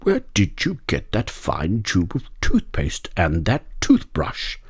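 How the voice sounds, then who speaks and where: A man's voice: fairly low pitch, wildly swooping pitch, slow pace, breathy edge, full character voice. A man voicing a fussy, suspicious male wild boar in a storybook, muttering in clipped, grumbling phrases.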